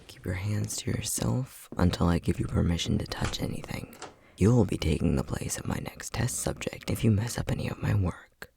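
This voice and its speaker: Male actor as mad scientist, very breathy and husky, creaky, sardonic, bossy, theatrically playful, ASMR roleplay. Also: fairly low pitch, moderate pace, dark tone, full character voice. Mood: weary, bossy. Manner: sardonic, teasing, condescending, with frequent sighs.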